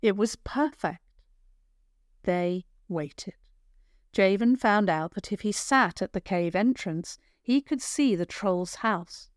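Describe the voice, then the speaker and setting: A woman narrating a children's adventure story in a measured, careful storytelling manner. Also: medium pitch, no room sound, clean studio sound.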